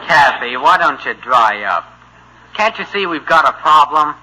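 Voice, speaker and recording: Smart-aleck teen boy, slightly high voice, scornful, very noisy vintage radio. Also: big pitch swings, unhurried. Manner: brash, slangy. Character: wisecracking, scornful teenage son. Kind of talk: 1950s radio sitcom acting. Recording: very noisy old radio recording.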